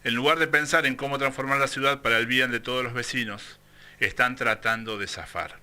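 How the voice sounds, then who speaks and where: A man's voice: mid-range voice, fairly even intonation, brisk, pushed and bright, roomy sound. A man hosting a radio talk show, delivering an emphatic opinion piece and interviewing a guest. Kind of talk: scripted opinion monologue and interview.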